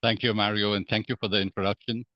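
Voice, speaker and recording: A man from Hong Kong, a podcast guest, speaking in a measured way with many fillers and restarts. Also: fairly low pitch, flat delivery, no room sound, dull audio. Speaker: guest real estate investor. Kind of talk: podcast interview.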